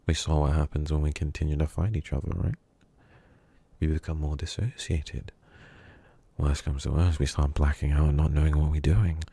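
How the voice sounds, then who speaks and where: A man playing a menacing, smug kidnapper in an ASMR roleplay, speaking slowly and teasingly and dropping into whispers. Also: very deep voice, steady tone, gravelly, mellow, breathy edge, heavily acted. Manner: slow, teasing, drifting into whispers.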